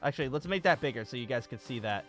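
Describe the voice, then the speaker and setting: A young man giving a casual, enthusiastic video tutorial, excitable and emphatic, often repeating himself. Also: medium pitch, rapid-fire, soft background music. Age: young adult.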